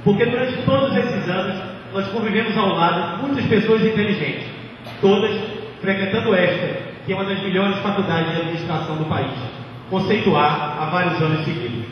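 A man's voice: high-pitched, steady tone, a little fast. Young man, ceremonial speech, long reverb, noisy recording. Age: 20s. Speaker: graduating student giving a speech. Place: large graduation ceremony at a podium. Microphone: microphone on a stand through speakers. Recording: echoey outdoor PA, muffled, distant, noisy.

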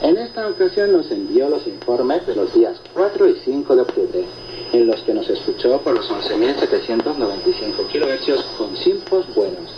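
Lively male radio host on noisy shortwave. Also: mid-range voice, normal speed. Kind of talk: reading and answering listener reports, conversational radio presenting. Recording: noisy shortwave radio reception, muffled, echoey. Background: loud static noise.

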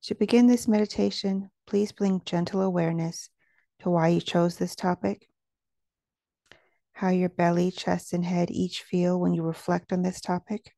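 A woman leading a guided meditation, speaking in a slow, soothing and measured way as she gives gentle instructions. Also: lowish voice, little pitch movement, vocal fry, no room sound.

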